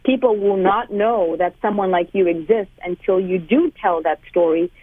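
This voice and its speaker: A woman in her thirties from Malawi, a guest author telling her story in a radio interview with lively, laughing energy. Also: medium pitch, normal speed, no echo. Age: 30s. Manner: animated storyteller, laughs often. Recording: tinny phone line.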